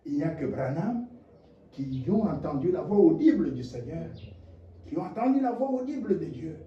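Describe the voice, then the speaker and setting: Impassioned preacher, high voice. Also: male voice, a little hiss or hum. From DR Congo. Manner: impassioned, swinging from low murmurs to shouted rhetorical questions. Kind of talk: sermon. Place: church hall. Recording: echoey, distant, dull lo-fi church recording.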